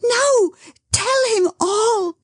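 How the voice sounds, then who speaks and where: A high, fluttering young wife, as the woman reading puts her on, talking fast and breathlessly when news arrives. Her voice swoops up and down and carries a husky, breathy edge. She sounds close and dry, like a storybook reading. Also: big pitch swings, dark tone, dry recording, exaggerated voice. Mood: pleading. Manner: rushed, fluttering, high-strung.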